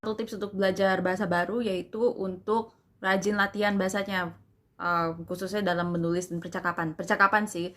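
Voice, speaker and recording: A woman's voice: medium pitch, little pitch movement, fairly quick, a bit bright, faint music behind. A young woman language tutor talking informally, full of casual fillers as she explains her view. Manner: informal, many fillers like gitu and eh. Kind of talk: casual chat.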